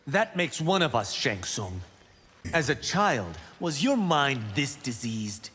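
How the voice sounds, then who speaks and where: A man's voice: mid-range voice, sing-song, slow pace, fairly bright tone, slight background noise. A man playing a brash, self-assured young monk fighter in dramatic video game dialogue. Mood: firm, challenging. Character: cocky, quick-witted young male monk fighter. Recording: long reverberant hall echo.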